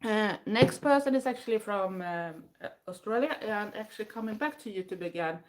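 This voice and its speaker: An older woman with a Swedish accent from the southwest of Sweden chats casually to the camera. She speaks English as a second language, hesitating with frequent fillers and restarting phrases. Her voice sits at an ordinary middle pitch. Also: expressive, brisk. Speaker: vlogger. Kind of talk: casual chat.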